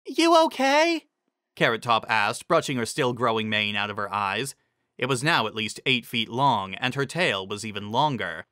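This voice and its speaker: A man's voice: fairly low pitch, normal speed, pushed and bright, dry recording, clean studio sound. A man giving a dramatic scripted narration of a story.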